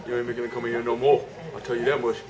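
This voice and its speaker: A man's voice: medium pitch, little pitch movement, racing, natural voice. A man voicing a blustering, bigoted puppet with a drawling manner in an improvised amateur puppet show. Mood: hostile, dismissive. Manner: drawling, hostile, ends remarks with "boy". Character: gruff bigoted male condiment puppet, blustering then flustered. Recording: noisy room with loud chatter.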